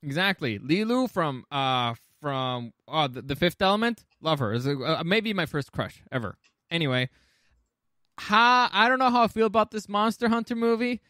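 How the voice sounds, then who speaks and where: A man with a fairly high voice, recorded close and dry. He talks as a podcast co-host in loose, casual conversation, opinionated and quick to swear. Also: animated, pushed and bright, no room sound. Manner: opinionated, profane. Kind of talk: casual chat about film and game adaptations.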